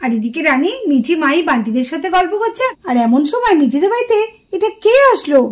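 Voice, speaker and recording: A woman's voice: sits high, animated, fast talker. A woman narrator telling a story for a doll video, addressing viewers directly.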